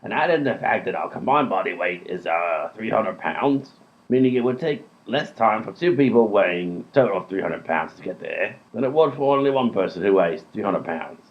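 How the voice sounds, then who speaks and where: A man playing a pedantic, clueless robber in a comic radio play, reasoning aloud in a deliberate, long-winded way. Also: on the low side, lively intonation. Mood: pedantic, deadpan. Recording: muffled lo-fi sound, music behind.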